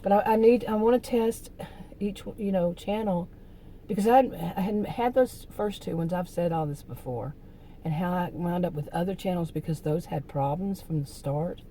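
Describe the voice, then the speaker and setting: A woman hosting her own vlog, speaking with a very dark, mellow tone that sits a little low. She talks loosely, stopping and restarting her thoughts. A faint hiss sits under the recording. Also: poor audio. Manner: rambling, halting restarts, occasional laughs. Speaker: channel host. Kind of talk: casual talking-to-camera vlog.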